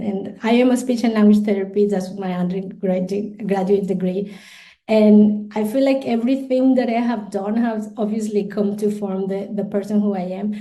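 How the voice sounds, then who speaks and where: A woman's voice: mid-range voice, warm tone. A woman from Colombia whose first language is Spanish, speaking as a guest in a podcast interview, thoughtful and full of restarts. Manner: thoughtful, many restarts and repeated words, light laughs.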